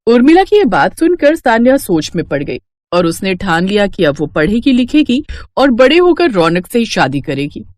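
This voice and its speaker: Female narrator for scripted moral tales, medium pitch, close dry sound. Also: big pitch swings, moderate pace, a little mellow, dry recording.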